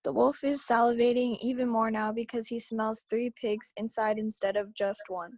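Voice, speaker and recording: A woman's voice: a little high, fairly even intonation, normal speed, a little mellow, dry recording. A woman reading scripted story narration aloud at a table read over a video call.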